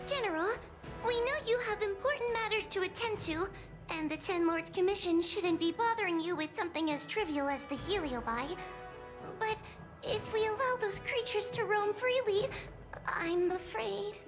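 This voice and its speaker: A woman's voice: very high-pitched, moderate pace, over music. An actress playing a stern, cautious judge who speaks formally and urgently to her superior. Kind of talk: game cutscene voice acting.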